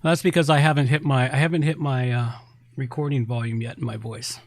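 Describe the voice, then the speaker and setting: A man's voice: medium pitch, fairly even intonation, fairly quick. He is a man with a mid-range voice, talking casually as a podcast co-host. His delivery is blunt and rambling, with a grumbling edge. It sounds like unscripted pre-show chat.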